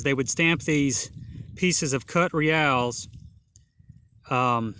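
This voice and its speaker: A man narrating a metal detecting hunt outdoors in a field, hoarse and awed, talking slowly with many exclamations. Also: fairly high pitch, fairly bright tone, a little hiss or hum. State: hoarse, losing his voice. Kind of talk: casual outdoor narration to camera.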